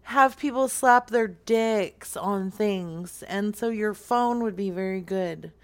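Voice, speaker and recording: A woman's voice: medium pitch, takes it slowly. A woman in her forties making a playful, flirtatious prank phone call. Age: 40s. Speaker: prank caller.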